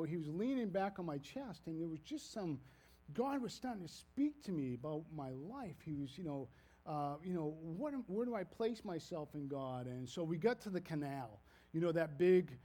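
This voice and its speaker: A man's voice: fairly high pitch, warm tone. A man in his fifties from Maine with a Maine accent, telling a rambling, folksy story as a preacher in a casual sermon. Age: 50s. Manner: rambling, folksy, self-deprecating, frequent restarts.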